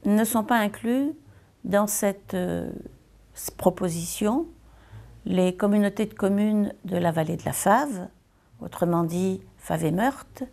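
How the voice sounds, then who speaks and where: A woman giving a semi-improvised political statement as a local elected official, deliberate and hesitant, repeating phrases for emphasis. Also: medium pitch, vocal fry, darkish tone.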